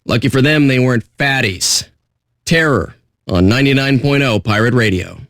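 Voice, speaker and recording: An actor playing a surly, sarcastic radio DJ in a video game, with deadpan, contemptuous patter. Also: male voice, mid-range voice, moderate pace, no echo, clean studio sound. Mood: deadpan, smug.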